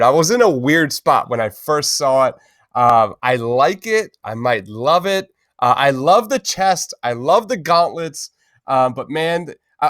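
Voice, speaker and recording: A man's voice: medium pitch, fairly bright tone, no room sound, clean studio sound. A man hosting a casual pop-culture podcast, talking informally and enthusiastically with plenty of slang. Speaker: podcast host.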